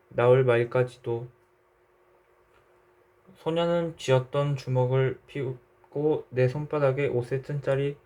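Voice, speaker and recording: A man narrating a literary short story in a measured, reflective way. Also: medium pitch, a little mellow.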